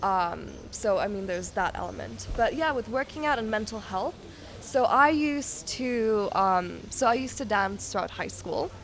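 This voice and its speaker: A woman's voice: mid-range voice, animated, unhurried. A woman in her twenties from Kenya, talking casually as a podcast guest and telling stories in a chatty way with quoted asides. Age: 20s. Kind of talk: casual conversational interview.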